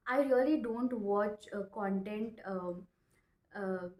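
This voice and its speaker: A woman's voice: medium pitch, slow, a little mellow. A woman in her twenties with an Indian accent, a non-native English speaker, presenting an informal lesson to camera, emphatic and repeating phrases with frequent check-ins. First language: not English. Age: 20s. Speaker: English-learning video presenter. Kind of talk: informal instructional talk to camera. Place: small bare indoor room. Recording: echoey bare room.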